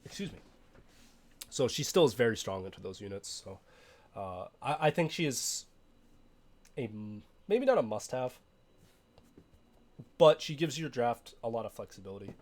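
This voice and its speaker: Young man, slightly hoarse. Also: medium pitch, moderate pace, pauses often. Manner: relaxed, frequent fillers and restarts. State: hoarse from illness. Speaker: game streamer. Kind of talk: casual game commentary.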